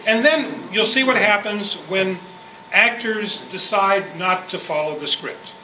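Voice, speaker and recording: A man with a slightly high voice introduces a club program informally, rambling as he goes. He is picked up from a distance in a very echoey room with clear background noise. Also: unhurried, pushed and bright. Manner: rambling, conversational. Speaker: presenter, club member. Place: indoor club meeting room.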